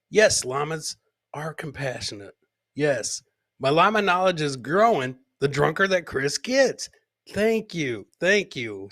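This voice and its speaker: A man's voice: fairly high pitch, sharp tone. A man hosting a casual live comedy podcast, rambling and jokey, often repeating his own phrases. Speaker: podcast host.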